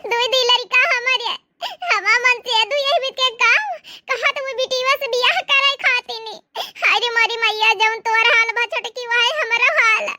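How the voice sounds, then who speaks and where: A woman's voice: extremely high pitch, steady tone, moderate pace, few pauses, pushed and bright, no echo, full character voice. A woman voicing dramatic, plaintive village housewives in a comedy cartoon, speaking with a rustic Awadhi accent. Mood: distraught.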